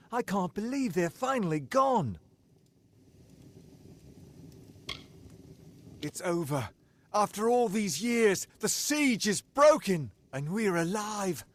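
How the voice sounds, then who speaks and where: An actor playing an earnest, weary soldier from a besieged fortress in a fantasy video game, speaking solemnly and formally. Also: male voice, sits high, very animated, slow, heavily acted. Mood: weary relief.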